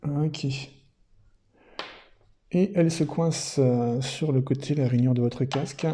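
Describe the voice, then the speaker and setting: A man's voice: mid-range voice, slow pace, dark tone, a little hiss or hum. A man presenting a product unboxing in casual, unscripted commentary, thinking aloud somewhat hesitantly.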